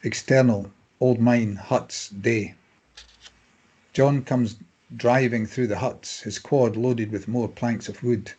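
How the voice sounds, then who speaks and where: A man reads scripted stage directions in measured, clipped phrases. His voice is dark and mellow with a husky grain, at an average male pitch. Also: fairly even intonation. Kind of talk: scripted narration of screenplay directions.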